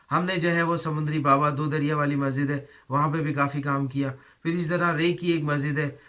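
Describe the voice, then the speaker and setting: A man speaking as a vlogger to his viewers in an informal, devout and somewhat halting way. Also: on the high side, bright, forceful tone. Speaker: vlogger, channel host. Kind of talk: informal vlog talk, thanking and appealing to viewers.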